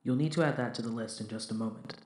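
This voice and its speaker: A man's voice: mid-range voice, fast talker, a little mellow, echoey room, lightly acted. A man playing a composed, literal-minded spaceship crewman in an audio drama, speaking formally and precisely. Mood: matter-of-fact. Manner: formal, precise, matter-of-fact.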